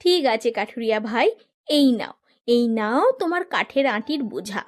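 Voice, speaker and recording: A woman's voice: a little high, expressive, moderate pace, soft background music, pitched below the performer's own voice, natural voice. A woman voicing a kindly, generous magical forest fairy in a children's cartoon, gracious and obliging. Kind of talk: Bengali children's cartoon voice acting.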